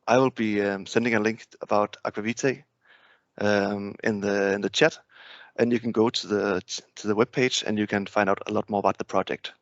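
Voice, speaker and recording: A man's voice: fairly low pitch, steady tone, moderate pace, no echo. A man in his thirties with a Danish accent, hosting a webinar over a headset in a friendly, hesitant way. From Denmark. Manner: friendly, hesitant, with many fillers. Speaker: webinar host. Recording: dry, muffled video call audio.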